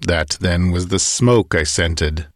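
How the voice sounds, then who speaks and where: A man voicing a somber, gentle wandering swordsman with a soft, grave murmur, in an audiobook adventure. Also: sits low, slow, no room sound, studio quality, heavily acted.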